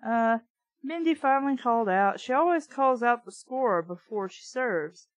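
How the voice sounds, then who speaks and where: A woman's voice: fairly high pitch, lively intonation, moderate pace, no room sound, soft background music. A woman reading a book aloud casually, with blunt, sweary, opinionated off-the-cuff commentary. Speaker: reader and commentator of a children's book.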